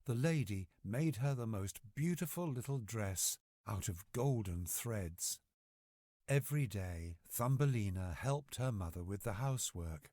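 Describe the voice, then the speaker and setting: A man tells a bedtime story for children in a low voice that rises and falls expressively. He takes his time with deliberate phrasing, reading from a script at a slow pace. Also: expressive, mellow, no echo, clean studio sound.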